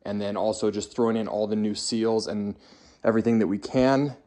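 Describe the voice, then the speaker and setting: A man's voice: on the low side, fairly even intonation, brisk, dark tone. A man in his thirties with a North American accent, narrating a casual vlog to viewers in an informal, upbeat way. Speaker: host of a truck build channel.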